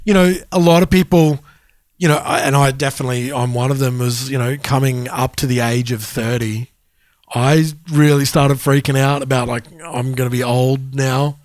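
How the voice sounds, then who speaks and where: A man in his forties co-hosting a podcast, chatting easily and backing his partner up with quick yeahs. The sound is dry and close-miked with no room echo. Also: mid-range voice, fairly even intonation, a little mellow. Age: 40s. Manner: agreeable, chatty, quick affirmations, laughs easily. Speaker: podcast co-host. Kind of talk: casual chat.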